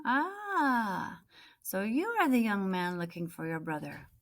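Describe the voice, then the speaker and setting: A woman voicing a kindly, calm, helpful market man from a storybook. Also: mid-range voice, takes it slowly. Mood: welcoming.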